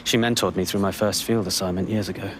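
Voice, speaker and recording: Male actor as anxious game spy analyst, deep, grave and halting, earnest, faint engine noise behind. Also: fairly even intonation, natural voice. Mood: confiding. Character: weary, fearful male intelligence analyst. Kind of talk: video game voice acting.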